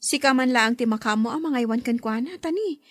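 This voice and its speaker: Woman playing a high-voiced Filipino working mother. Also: fairly quick, a bit bright, no echo, studio quality. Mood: hurried. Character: busy career-minded young mother and devoted wife. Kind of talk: radio drama acting.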